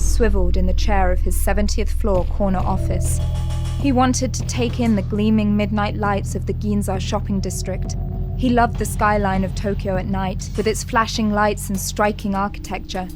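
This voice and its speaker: A woman delivering dramatic scripted narration for an audio drama. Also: mid-range voice. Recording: loud music behind the voice.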